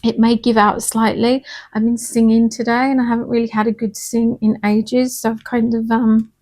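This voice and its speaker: Woman, slightly hoarse, fairly high voice, soft and reassuring, chatty card reader. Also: flat delivery, moderate pace. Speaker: tarot and angel card reader. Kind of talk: casual spiritual card reading.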